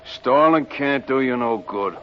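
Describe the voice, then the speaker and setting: Man playing a slow, menacing stickup thug. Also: mid-range voice, lightly acted. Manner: curt, slangy, coolly threatening. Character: menacing, unhurried male stickup thug in fake uniform. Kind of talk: old-time radio detective acting. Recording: muffled old radio recording, faint noise.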